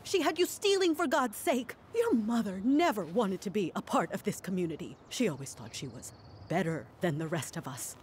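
An actress playing a guilt-ridden, judgmental middle-aged townswoman in a video game drama, with a halting, defensive manner. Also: mid-range voice, very animated, a little hiss or hum, natural voice. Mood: bitter, indignant.

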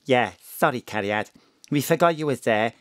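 A middle-aged South Welsh mother, low in pitch but very animated. She is flirty, scatterbrained and gushing, in a comic audio drama recorded dry and close. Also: moderate pace, no room sound. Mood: breezy.

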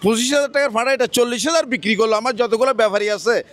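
A man's voice: very high-pitched, racing, fairly bright tone, dry recording. A middle-aged man who runs a livestock market, speaking in a boastful, salesman-like way at an outdoor market into a handheld mic. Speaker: market organizer. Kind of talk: on-location interview. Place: outdoor livestock market under a bamboo shed. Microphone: reporter's handheld mic.

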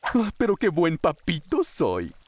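A man's voice: on the high side, huge pitch swings, measured pace, heavily acted. A man voicing a haughty, cold demon royal father in a cartoon fan dub, pompous and condescending, with a Latin American Spanish accent. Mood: disdainful, self-satisfied.

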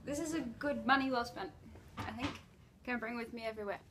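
A woman's voice: fairly high pitch, fairly quick, a bit bright, slight background noise. A young adult woman with an Australian accent, chatting casually and playfully into a handheld phone as a video diary. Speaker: vlogger. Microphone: phone at arm's length.

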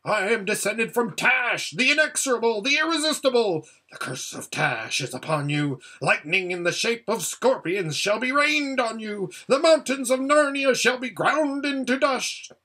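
A man's voice: high-pitched, lively intonation, unhurried, hardly any pauses, bright, forceful tone, husky, pitched above the performer's own voice, heavily acted. A man voicing an arrogant young prince who shrieks bombastic curses and threats. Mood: shrieking.

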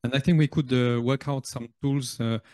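A man commenting from the floor of a panel discussion in a conference hall, halting and full of uhs. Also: mid-range voice, fairly even intonation, dark tone, studio quality. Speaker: audience member.

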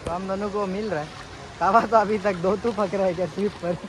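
A young man with a Northeast Indian accent talks chattily to his phone while fishing at a river, his voice pitched a little high. He laughs often and drops English words into his talk. Water and construction noise are clearly heard behind him. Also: fairly even intonation, fairly quick. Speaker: vlogger. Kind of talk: casual vlog narration while fishing. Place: outdoors by a river. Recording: noisy outdoor riverside recording.

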